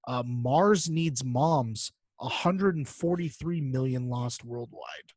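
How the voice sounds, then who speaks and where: A man's voice: medium pitch, slow pace, darkish tone, no echo, clean studio sound. A man co-hosting a podcast in casual, slangy chat, with frequent fillers as he talks through lists and figures. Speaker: podcast co-host.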